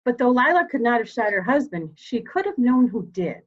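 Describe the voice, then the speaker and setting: A woman's voice: on the high side, big pitch swings. Woman playing a dignified elderly matriarch, slightly muffled. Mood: grave, resolute. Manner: formal, deliberate, commanding. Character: elderly dying matriarch, dignified, steely, grieving mother. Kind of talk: dramatic reading of a murder mystery.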